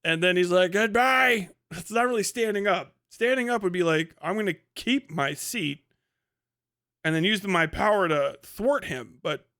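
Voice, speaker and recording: A man with a slightly high voice talks off the cuff as a livestream host. His commentary is casual and rambling, full of slang and swearing. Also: moderate pace, pushed and bright.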